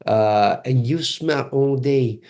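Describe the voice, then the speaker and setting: A man with a French accent speaks in a mellow, relaxed tone at an average pitch. He is a podcast guest in casual conversation, and he tells his stories in an animated way. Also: slow. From Cameroon.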